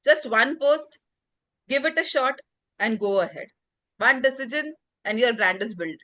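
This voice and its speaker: A woman's voice: a little high, lively intonation, a little slow, sharp tone, no echo. A woman sharing a heartfelt personal story as a guest, encouraging others and using many fillers. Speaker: guest community member.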